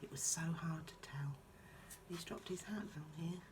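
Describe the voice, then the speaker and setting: A woman reading a children's picture book aloud in a soft-spoken, musing way, with informal, chatty asides. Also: deep voice, normal speed, background noise.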